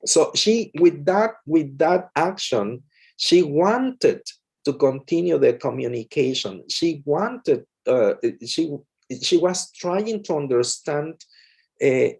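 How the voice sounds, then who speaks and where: A man's voice: mid-range voice, vocal fry, warm tone, slightly rough. A man from Mexico, a lecturer, talking with a colleague in a hesitant way full of fillers and restarts. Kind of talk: conversation with a colleague.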